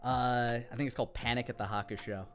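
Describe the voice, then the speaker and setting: A man's voice: mid-range voice, steady tone, fast talker, faint music behind. A man giving casual, enthusiastic creator commentary on his own parody series, swearing casually.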